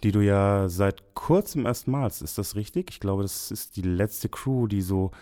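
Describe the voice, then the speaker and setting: A man's voice: on the low side, measured pace, dark tone. A man presenting a show intro in chummy, praising banter with a friend.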